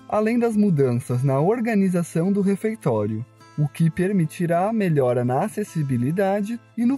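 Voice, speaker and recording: A man with a Brazilian Portuguese accent reads a campus news report in a steady, measured way. His voice sits a little high and sounds mellow. Soft music runs under it. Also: husky. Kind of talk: scripted news narration.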